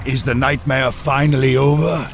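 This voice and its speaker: An actor playing a proud, blustering human warlord in a video game, pompous and deliberate. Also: male voice, medium pitch, level tone, over loud music, close to an everyday voice. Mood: suspicious. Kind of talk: video game cutscene acting.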